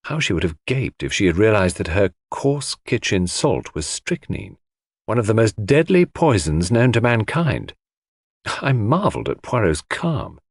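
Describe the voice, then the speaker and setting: A man's voice: lowish voice, sing-song, measured pace, breathy edge, no room sound, studio quality. A man reading scripted first-person mystery narration as an audiobook narrator.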